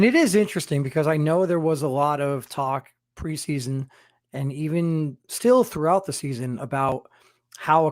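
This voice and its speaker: A man's voice: on the high side, no echo, studio quality. A man hosting an interview, asking long winding questions. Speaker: interviewer host. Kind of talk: podcast interview.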